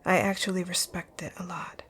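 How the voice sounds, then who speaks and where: A woman playing a rich, dominant boss in an ASMR roleplay, speaking in a curt, commanding way with a soft, breathy, husky voice. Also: lowish voice, little pitch movement, brisk, slight background noise, lightly acted. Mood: softening, concerned. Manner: curt, commanding, breathy, often near a whisper. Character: rich, rude, dominant female boss, stern but secretly caring. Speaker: audio roleplay voice actor.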